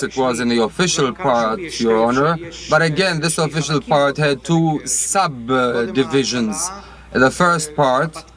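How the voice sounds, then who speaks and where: A man interpreting court testimony live, formally and haltingly, phrase by phrase. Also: mid-range voice, a bit bright.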